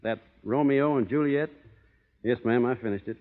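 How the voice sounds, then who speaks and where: An actor playing a plainspoken, stubborn, honorable cowboy with a drawling American Western accent in an old radio western. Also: male voice, mid-range voice, moderate pace, narrow, tinny audio, close to an everyday voice. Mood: plainspoken, wry. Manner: drawling folksy cowboy talk, understated. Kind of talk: 1930s-40s radio western acting. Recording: boxy old radio recording, hall reverb.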